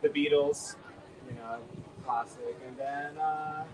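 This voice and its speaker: A man's voice: on the high side, steady tone, slow pace, recorded from a distance. A young man, a guitarist, chatting casually and a little hesitantly as an interview guest. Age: young adult. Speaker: band guitarist being interviewed. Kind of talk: casual chat. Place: outdoor terrace by a beach. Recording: noisy outdoor recording, off mic.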